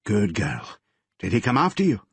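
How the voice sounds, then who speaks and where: A man reading the part of a strict police detective questioning his daughter in a firm, measured way. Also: fairly low pitch, huge pitch swings, creaky, slightly rough, dry recording, exaggerated voice. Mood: concerned, firm. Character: strict, stern middle-aged police detective father.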